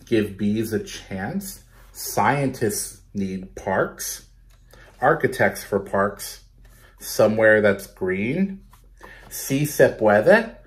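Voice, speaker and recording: A man in his thirties reading a picture book aloud to children in an expressive way, talking to them directly. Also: lowish voice, drawn-out words. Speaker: storytime reader for children. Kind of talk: animated picture-book read-aloud with asides to young viewers. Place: small bedroom at home, indoors.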